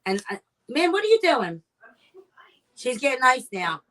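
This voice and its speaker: A woman co-hosts a casual podcast with a bright, clear voice that swings widely in pitch. She is bubbly and effusive, showering her guest with praise. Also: big pitch swings, unhurried. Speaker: podcast co-host. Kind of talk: casual chat.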